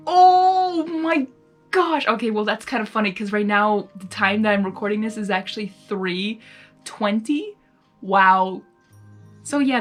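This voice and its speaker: A woman reading a story aloud with excitable, giggly, rambling casual commentary. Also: mid-range voice. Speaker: host of fan fiction reading videos.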